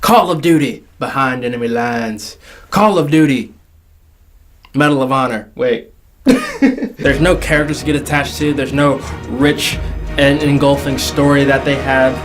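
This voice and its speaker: A man's voice: mid-range voice, sing-song, a little slow. A young man hosting a casual entertainment commentary, jokey and slangy, stretching words for emphasis. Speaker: video host. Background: background music.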